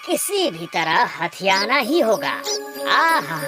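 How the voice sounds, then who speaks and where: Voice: mid-range voice, very animated, slow. A performer voicing a sly, scheming royal minister in a cartoon, muttering and calculating. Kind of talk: exaggerated Hindi cartoon story acting. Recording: music behind the voice.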